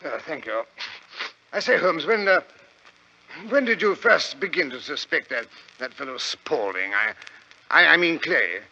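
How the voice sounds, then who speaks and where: A man acting a genial, bumbling British gentleman sidekick in a 1940s radio drama, with an eager, hesitant, stammering manner. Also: fairly high pitch, very animated, a little slow, pauses often, heavily acted. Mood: curious, admiring. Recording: dull, lo-fi vintage radio recording.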